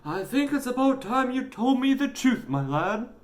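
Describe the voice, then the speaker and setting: A storyteller voicing an ailing, bemused and gently stern father in an audiobook. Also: medium pitch, very animated, normal speed, pitched above the performer's own voice, lightly acted.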